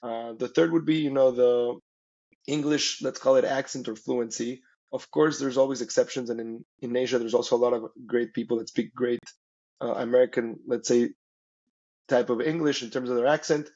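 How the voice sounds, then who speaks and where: A man's voice: mid-range voice, fairly even intonation, moderate pace, darkish tone, lo-fi sound. A man from Mexico, a native Spanish speaker, explaining conversationally as an interview guest, often checking in with "right?". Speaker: interview guest, company founder.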